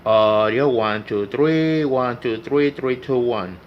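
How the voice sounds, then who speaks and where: A man's voice: medium pitch, slow pace, highs cut off, a little hiss or hum. A man giving a casual, unscripted hands-on tutorial, explaining step by step and repeating himself as he goes. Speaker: hobbyist demonstrating CB radio repair.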